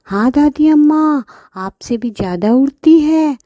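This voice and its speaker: A woman voicing a clever young girl in a children's cartoon, calling out and coaxing in drawn-out pleas. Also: high voice, lively intonation, warm tone, no echo, dull audio, voice pushed up. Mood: coaxing, persuasive.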